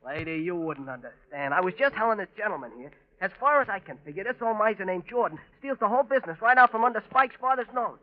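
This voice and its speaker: An actor playing a talkative young city newsboy in an old radio drama, chattering confidingly. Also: male voice, high voice, expressive, quick pace, few pauses. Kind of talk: 1940s radio drama acting. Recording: dull old radio recording.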